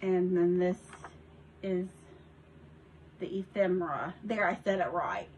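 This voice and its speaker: A woman's voice: lowish voice, takes it slowly, a little mellow, lo-fi sound, a little hiss or hum. A woman with a Southern accent chatting casually while showing off a handmade journal in her home craft room, rambling with restarts and little giggles. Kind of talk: casual show-and-tell vlog. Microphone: phone.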